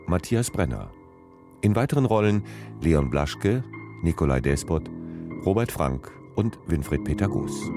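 A male announcer reads radio play credits in a very deep voice. His formal delivery has a lively melodic range, set over clearly audible music.

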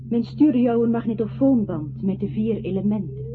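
A woman's voice: on the high side, moderate pace, natural voice. Actress as solemn female narrator, thin radio sound. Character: solemn, poetic narrator addressing a composer. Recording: thin phone-like radio sound, faint noise.

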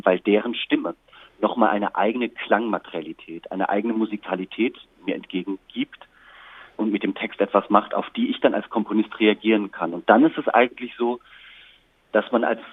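A man's voice: fairly low pitch, husky. A man giving considered, articulate explanations as an interviewed author and composer, speaking reflectively. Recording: muffled, narrow phone-like line.

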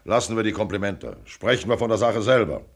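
A man's voice: lowish voice, expressive, a little fast. An actor playing a blunt, shrewd older millionaire businessman in a radio play, with brusque, clipped, commanding delivery. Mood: blunt, businesslike. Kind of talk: radio drama acting.

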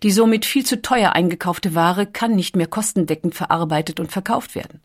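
A woman reading scripted documentary audiobook narration in a measured, articulate storytelling manner. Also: lowish voice.

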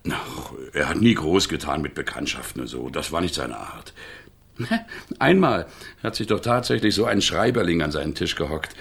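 Gravelly, garrulous older innkeeper. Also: male voice, extremely low pitch, animated, moderate pace, a bit bright, exaggerated voice. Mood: chuckling, reminiscing. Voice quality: gravelly, husky. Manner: chatty, chuckling, tags remarks with a questioning phrase. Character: garrulous, earthy older provincial innkeeper, gossipy but guarded. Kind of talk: radio play dialogue.

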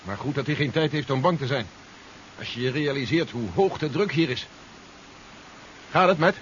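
A man's voice: mid-range voice, lively intonation, moderate pace, fairly bright tone, close to an everyday voice. An actor playing an anxious, commanding man aboard a submarine in a 1970s radio drama, urgent and blunt. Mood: tense, worried. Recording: dull old radio recording, faint noise.

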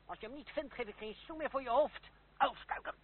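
A bullying official of the revolution in a radio drama. He barks commands with scorn, his voice pushed very high and rough. The recording is tinny and old. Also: fairly quick. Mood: angry, commanding. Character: furious, bullying revolutionary official barking at underlings.

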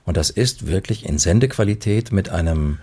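A man talking casually and explaining things, with pauses as he searches for words. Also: deep voice, expressive, mellow, a little hiss or hum. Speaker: radio drama actor. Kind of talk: casual conversation.

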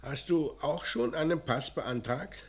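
An actor playing a gruff, sardonic police commissioner in an old German radio crime drama, brusque and commanding with a mocking, fatherly sternness. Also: mid-range voice, measured pace, close to an everyday voice. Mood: sardonic, probing. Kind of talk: mid-20th-century German radio crime drama acting.

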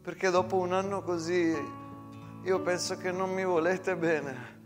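A man's voice: high voice, steady tone, music in the background, pitched above the performer's own voice. A man playing a frightened, simple-hearted soldier who pleads and stammers. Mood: hurt, pleading. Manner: halting, stammering, repeating words.

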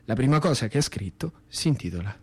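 A man's voice: medium pitch, sing-song, fairly quick, breathy edge. A man with a central Italian accent performing a dramatic storytelling monologue in a colloquial, rhythmic, theatrical way. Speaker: actor and storyteller.

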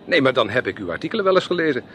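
A man's voice: medium pitch, very animated, rapid-fire, a bit bright. An actor in a radio drama playing a jovial, courting oil salesman, courteous, chatty and effusive. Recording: noisy old radio play recording.

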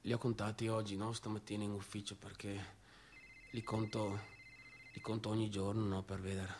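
An actor playing an obsessive, aggrieved young factory boss in a theatrical monologue, with a northeastern Italian accent and halting, repetitive, colloquial speech. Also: male voice, fairly low pitch, level tone, darkish tone, slight background noise, close to an everyday voice. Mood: subdued, brooding. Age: young adult.

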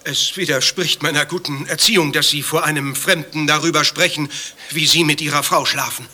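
A man's voice: on the high side, steady tone, a little fast, bright, forceful tone. An actor playing a prim, indignant man accused as a lover in a satirical German radio play, stiffly polite and defensive. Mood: affronted, defensive.

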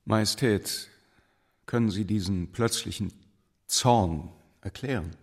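An actor playing a reserved, idealistic doctor of the Enlightenment in a radio play, speaking in a measured and quietly firm way. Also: male voice, fairly low pitch, breathy edge, heavily acted. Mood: gentle, cautious. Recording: long reverberant hall.

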